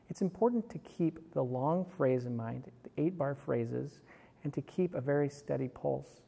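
A middle-aged man, a music instructor, explaining in a measured way in a large classroom. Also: medium pitch, creaky, mellow, husky. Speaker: horn professor and instructor. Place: large hard-walled music classroom, indoors. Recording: echoey hall-like classroom reverb.

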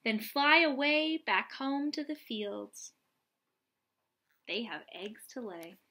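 A young adult woman reading aloud and explaining gently, as a teacher does for young children. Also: fairly high pitch, lively intonation, takes it slowly. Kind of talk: children's read-aloud and lesson. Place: small lamp-lit room at home, indoors.

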